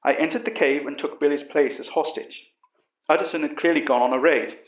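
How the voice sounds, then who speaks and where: A man playing a calm, commanding professor, giving measured, literary first-person narration of a horror story. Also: on the high side, close to an everyday voice. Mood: grave storytelling. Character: calm, commanding British professor recounting a monster investigation. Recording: dull, roomy recording.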